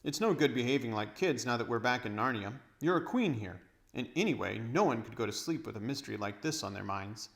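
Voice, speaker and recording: A man's voice: mid-range voice, animated, brisk, creaky, a bit bright. A man voicing a confident elder schoolboy who leads and reassures, in a storybook. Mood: reassuring.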